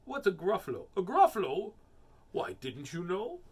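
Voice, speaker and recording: Storybook fox, male performer: predatory yet jumpy, exclaiming, animated, faintly husky. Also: high-pitched, moderate pace, voice pushed up, exaggerated voice. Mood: inviting, curious. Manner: theatrical, exclaiming. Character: predatory, inviting fox, easily spooked.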